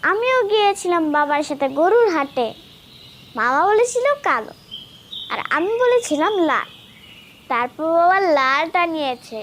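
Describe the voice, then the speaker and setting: A woman's voice: high voice, sing-song, unhurried, long pauses, fairly bright tone, a little hiss or hum, heavily acted. A woman voicing a proud, eager little boy in a cartoon. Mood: excited, proud. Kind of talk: cartoon voice acting.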